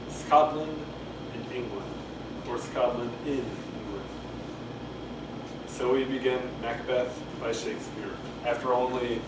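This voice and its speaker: A man casually reading a play aloud to camera, rambling, hesitant and self-deprecating, with muttered asides. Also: mid-range voice. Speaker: amateur play reader. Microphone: camcorder microphone at some distance. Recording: echoey, noisy camcorder audio from far off.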